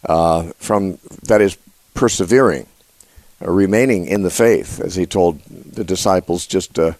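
A man hosting a live call-in Bible radio show, congested, talking haltingly with many fillers and restarts. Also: darkish tone, no room sound. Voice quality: usually a high nasal voice. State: congested, voice lower than usual.

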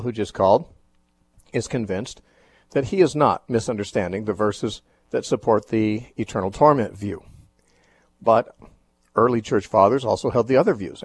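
A man hosts a radio call-in program, explaining scripture at an unhurried pace. His voice is somewhat husky, and he often pauses with fillers and restarts his sentences. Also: medium pitch. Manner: thoughtful, measured, with frequent fillers and restarts. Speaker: radio call-in show host. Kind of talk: Bible teaching on a call-in show.

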